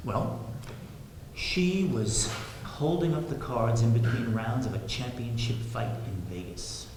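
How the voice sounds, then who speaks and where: A man's voice: mid-range voice, big pitch swings, measured pace. A man on stage playing a wry divorced guy bantering in a play. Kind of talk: live stage play dialogue. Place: theatre stage, recorded from a distance. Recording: very echoey hall, clear noise.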